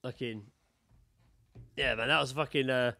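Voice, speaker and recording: A man's voice: mid-range voice, steady tone, unhurried, vocal fry, pushed and bright, dry recording. A British man hosting a podcast in casual, chatty, sweary banter. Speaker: podcast host.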